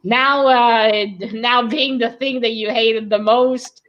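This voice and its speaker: A woman's voice: fairly high pitch, fairly even intonation, slow pace, highs cut off. A woman co-hosting a read-along in casual discussion, thinking aloud and speculating with some hesitation. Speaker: co-host of a book read-along.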